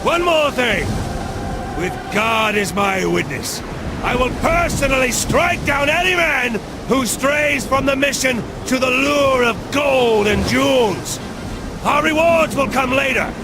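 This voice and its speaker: A man's voice: very high-pitched, lively intonation, unhurried, heavily acted. A man playing a fierce, zealous crusader commander, giving declamatory battle speeches to his troops in a video game. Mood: stern, threatening. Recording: loud battle noise behind the voice.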